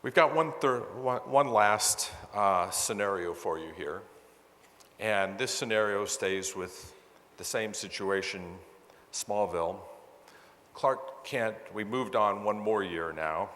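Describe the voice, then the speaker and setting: A man's voice: lowish voice, slow pace, a bit bright. A man explaining case scenarios to an audience as a presenter, in a conversational way, often rephrasing himself. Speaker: presenter at a conference. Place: large meeting hall with sound system. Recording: long reverb of a large hall.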